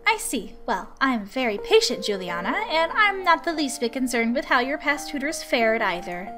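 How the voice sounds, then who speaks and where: A woman's voice: high-pitched, sing-song, music in the background. A woman voicing a patient, formal female researcher working as a substitute tutor in a visual novel. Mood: patient, firm. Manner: polite, measured, earnest. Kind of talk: visual novel character reading.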